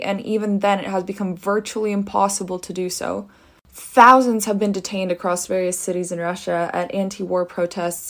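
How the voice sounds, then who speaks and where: A woman from California giving an urgent, informative monologue for a short social media video, with frequent "um" fillers. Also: fairly low pitch. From United States (California).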